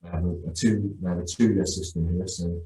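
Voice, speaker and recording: A man's voice: deep voice, steady tone, brisk, mellow. A middle-aged man, a youth football coach, talking enthusiastically in a casual podcast interview, often restarting and repeating words. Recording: echoey room.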